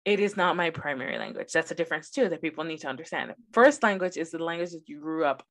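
A woman's voice: low-pitched, animated, fast talker, fairly bright tone. A young woman whose first language is Spanish, co-hosting a podcast in casual chat and telling stories animatedly. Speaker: podcast co-host.

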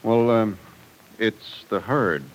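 A man's voice: fairly low pitch, slow, rough recording. An actor playing an older, fatherly farm man in an old-time radio serial, folksy and hesitant. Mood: hesitant, troubled. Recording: vintage radio recording with faint noise.

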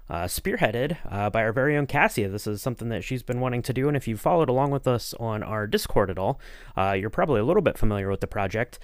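A man's voice: mid-range voice, no room sound. Male podcast host, informal and rambling, mid-range voice, dry recording. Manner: rambling, informal, often saying you know. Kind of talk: casual podcast interview.

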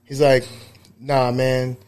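A man's voice: medium pitch, monotone, takes it slowly, a little mellow, clean tone. A man in his thirties co-hosting a casual podcast, telling rambling, profane stories full of fillers. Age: 30s. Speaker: podcast co-host, barber.

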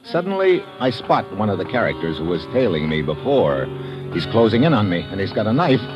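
A man's voice: fairly low pitch, sing-song, brisk. An actor playing a wisecracking, sardonic American adventurer who narrates his own story in a mid-century radio drama. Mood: tense, wry narration. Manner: sardonic, slangy. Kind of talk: 1940s-50s radio adventure acting, first-person narration. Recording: dull old radio recording with loud music.

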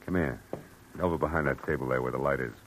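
An actor playing a terse, wry private detective in the clipped, deadpan style of 1940s radio drama. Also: male voice, extremely low pitch, fast. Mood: matter-of-fact. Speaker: narrator and lead. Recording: noisy old radio broadcast recording.

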